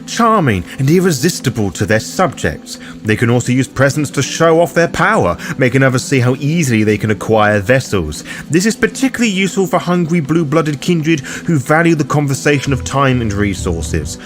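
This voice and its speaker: A man presenting a scripted roleplaying guide in a theatrical, formal manner with cheeky asides. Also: mid-range voice. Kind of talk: scripted narration, dramatic explainer. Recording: music under the voice. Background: background music.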